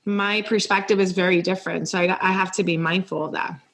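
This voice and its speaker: A woman's voice: on the low side, steady tone, a little fast, fairly bright tone. Woman host asking thoughtful questions. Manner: thoughtful, hesitant with ums. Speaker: podcast host. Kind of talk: interview questions.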